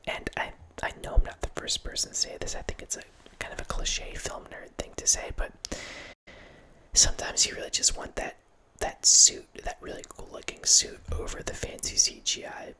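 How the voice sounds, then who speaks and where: A man in his thirties whispering a relaxed ASMR ramble as host, close to a studio mic in a small home room, with frequent restarts. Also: normal speed. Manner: whispering throughout, relaxed film-nerd musing with frequent restarts. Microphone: studio mic close up, headphones on.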